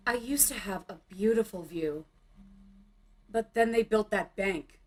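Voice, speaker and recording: A woman's voice: mid-range voice, measured pace, close to an everyday voice. A woman playing a dramatic, wounded young bohemian punk singer in a motion comic. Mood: hurt, indignant.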